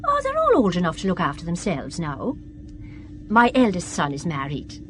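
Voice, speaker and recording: An actress playing a garrulous, devout middle-aged Irish widow from the country in a radio drama, folksy and inquisitive. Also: female voice, fairly low pitch, wildly swooping pitch, moderate pace, fairly bright tone, slight background noise. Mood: chatty, inquisitive. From west of Ireland.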